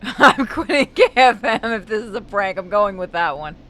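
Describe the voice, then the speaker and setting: A woman's voice: medium pitch, animated, moderate pace, a bit bright. A woman giving casual, wry, skeptical commentary while playing a video game.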